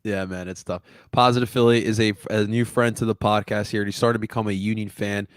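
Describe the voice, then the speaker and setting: A man's voice: lowish voice, brisk, clean tone, no room sound, studio quality. A man hosting a sports podcast over a video call, chatting casually and hyping up the fans. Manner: excitable, hypes up fans. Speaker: podcast host.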